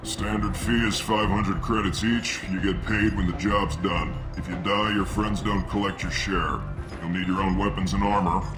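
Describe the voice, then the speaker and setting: An actor playing a gruff mercenary recruiter who talks in a blunt, businesslike way. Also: male voice, low voice, fairly even intonation, normal speed, close to an everyday voice. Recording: game cutscene with music behind.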